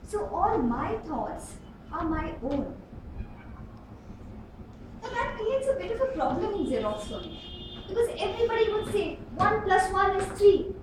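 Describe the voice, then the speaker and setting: A woman delivers a rehearsed tall tale in a very high, animated voice, pausing for dramatic emphasis like a practiced contest speaker. She is recorded from a distance in a club room, with noticeable noise behind her. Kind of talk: rehearsed storytelling speech to a live club. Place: indoor club meeting room. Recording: roomy, distant mic with clear background noise.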